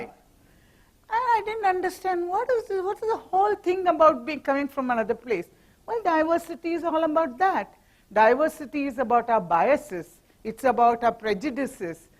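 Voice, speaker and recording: A middle-aged woman from Mumbai with an Indian English accent, giving a lively corporate talk as a professor to an audience in a hall, with teasing asides and jokes. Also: sits high. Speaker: guest speaker, professor. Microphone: handheld or stand mic.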